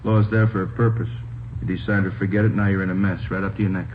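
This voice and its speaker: An American actor playing a stern, sceptical police lieutenant in 1950s radio drama style, curt and matter-of-fact. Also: male voice, low-pitched, steady tone, quick pace, lightly acted. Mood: stern, accusing. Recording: old radio, narrow band, noisy.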